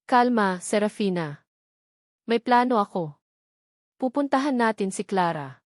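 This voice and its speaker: A woman's voice: mid-range voice, expressive, measured pace, no room sound, clean studio sound, close to an everyday voice. A woman voicing a scheming villainous man in a story, reassuring yet desperate. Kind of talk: dramatic storybook dialogue.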